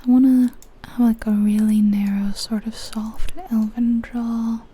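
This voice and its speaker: Woman, hushed ASMR whisper, very mellow, unhurried pace, gentle tentative musing, game commentary. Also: a little high, little pitch movement, slight background noise. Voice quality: soft, breathy whisper. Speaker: ASMR video game creator, host.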